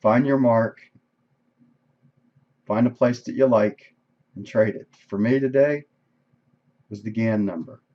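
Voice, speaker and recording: A man reviewing his day's trades on a live stream, casual and thinking aloud, with a voice that is going hoarse. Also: mid-range voice, takes it slowly, pauses often, highs cut off. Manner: conversational, thinking aloud, frequent "right?". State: losing his voice, coughing. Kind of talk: casual screen-share trade recap. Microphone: desk microphone.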